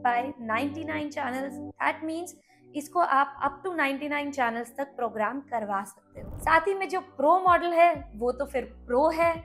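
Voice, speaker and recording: A woman's voice: sits high, measured pace, fairly bright tone, soft background music. A woman with an Indian accent hosting a casual product comparison, explaining things and mixing English words into her speech. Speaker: product review host.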